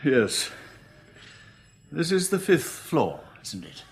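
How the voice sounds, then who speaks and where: An actor playing a grim, despairing British surgeon who has just gone blind, terse and bleak in a radio drama. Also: male voice, on the high side, sing-song, slow pace, heavily acted.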